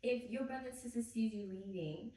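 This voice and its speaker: Croaky woman in a very echoey room. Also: fairly high pitch, a little fast. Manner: animated storytelling, acts out quotes. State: slightly croaky voice. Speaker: podcast co-host. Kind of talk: casual faith chat. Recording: very echoey room, mic at a distance.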